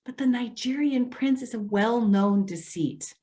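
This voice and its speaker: A woman's voice: fairly high pitch, a little slow, warm tone, natural voice. A woman acting an earnest, protective social worker in readers theater, speaking carefully and insistently. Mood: cautioning. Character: earnest, protective female elder-care social worker, cautious.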